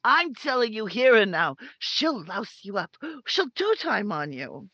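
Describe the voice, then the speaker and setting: An actress playing a hostile, suspicious middle-aged mother-in-law in a 1950s-style radio crime drama, with scornful, drawn-out accusations. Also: medium pitch, sing-song, lo-fi sound, noisy background. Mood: accusing.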